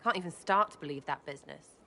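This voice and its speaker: Scrappy young rogue, game dialogue, lowish voice, slangy and blunt, big hall reverb. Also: female voice, fast, creaky, bright, forceful tone, a little hiss or hum, exaggerated voice. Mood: blunt, uneasy. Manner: slangy, cheeky, clipped phrasing. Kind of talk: video game voice acting.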